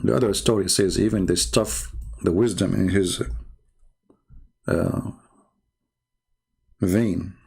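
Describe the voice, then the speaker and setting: The sort of croaky-voiced man heard on a late-night religious debate stream, a native Arabic speaker with an Arabic accent, picking texts apart with scornful disbelief. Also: sits low, slow pace, long pauses, a little mellow. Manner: mocking, incredulous, with rhetorical questions. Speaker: host of a religious commentary show. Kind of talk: informal polemical commentary on read-out texts.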